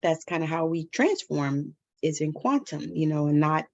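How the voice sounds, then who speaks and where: A woman host with a fairly low voice guides the interview, affirming her guest and asking reflective questions. Her audio sounds slightly muffled and lo-fi. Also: expressive, normal speed. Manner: affirming, frequent laughs and "mm-hmm". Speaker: podcast host. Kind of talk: interview conversation.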